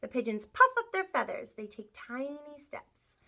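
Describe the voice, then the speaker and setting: Bright, animated woman reading to children. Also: high-pitched, normal speed, creaky. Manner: animated, acts out sound effects, asks listeners questions. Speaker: teacher reading a picture book aloud to young children. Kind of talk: expressive read-aloud storytelling.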